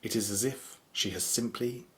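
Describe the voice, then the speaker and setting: A man playing the sober narrator of a horror audio drama, measured and matter-of-fact. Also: fairly low pitch, lightly acted. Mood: grave, factual.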